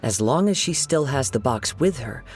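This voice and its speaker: An actor playing a calm, composed young wandering samurai in a game, speaking in a soft, measured way. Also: male voice, medium pitch, natural voice.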